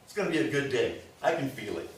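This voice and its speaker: A man's voice: medium pitch, big pitch swings, quick pace, lightly acted. Guy playing grumbly folksy husband in his 60s, voice goes up and down a lot, echoey stage reading. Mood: cheerful. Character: grumbling, folksy, nostalgic husband of sixty-five. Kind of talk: live stage play reading. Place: indoor live staged reading. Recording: echoey room from far off, faint noise.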